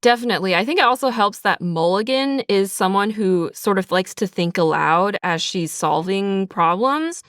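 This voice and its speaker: A woman's voice: medium pitch, expressive, normal speed, hardly any pauses, fairly bright tone, dry recording, studio quality. A woman speaking casually in an interview as a writer, chatty and informal, peppering her talk with "like" and "sort of". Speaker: audio drama writer being interviewed. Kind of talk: casual behind-the-scenes interview.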